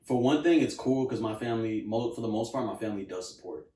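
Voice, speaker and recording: A man's voice: mid-range voice, quick pace, dark tone, distant mic. A young man from New Jersey, a rapper guest, talking in a laid-back way full of "like" and "you know". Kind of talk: casual interview chat. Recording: roomy, from off mic.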